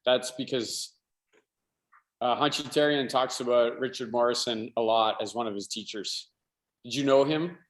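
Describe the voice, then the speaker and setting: A man co-hosting a podcast, asking an unhurried follow-up question in a casual chat. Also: medium pitch.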